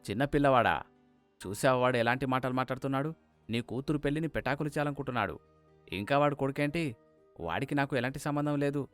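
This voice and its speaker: A man voicing an angry, domineering father in an animated moral story, stern and scolding. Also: medium pitch, fast talker, soft background music, natural voice. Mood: indignant.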